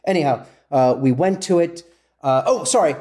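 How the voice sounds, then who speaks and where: A man talking casually as a guest, telling things in an informal, halting way and correcting himself as he goes. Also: a little high, warm tone. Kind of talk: casual conversational storytelling.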